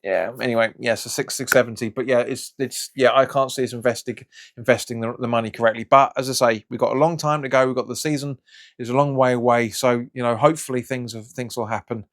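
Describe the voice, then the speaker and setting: A man hosting a football fan channel, talking in a casual, frustrated, rambling way with many restarts, his voice slightly raspy and hoarse from hay fever. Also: medium pitch, fairly even intonation, quick pace. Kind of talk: casual reaction vlog.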